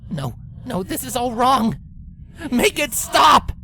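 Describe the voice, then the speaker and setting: A woman's voice: on the high side, big pitch swings, slow, gravelly, bright, forceful tone, a little hiss or hum, heavily acted. Woman voicing a brash, rattled young pegasus mare. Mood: panicked. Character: young adult female pegasus pony, brash, now rattled. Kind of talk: audio fan fiction character acting.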